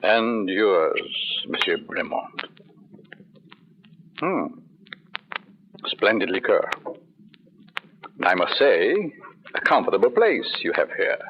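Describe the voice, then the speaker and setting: Slow, suave, jealous doctor. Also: male voice, deep voice, sing-song, breathy edge, full character voice. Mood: silkily probing. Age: middle-aged. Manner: measured, silkily polite, insinuating. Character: suave, suspicious, jealous middle-aged doctor husband. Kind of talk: old-time radio drama acting. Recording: muffled old radio recording.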